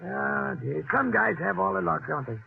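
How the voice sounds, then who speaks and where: A man's voice: high voice, lively intonation, moderate pace, vocal fry, phone-quality sound, exaggerated voice. An actor playing a folksy, talkative man in a 1940s radio drama. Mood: chummy. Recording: thin, band-limited old radio recording.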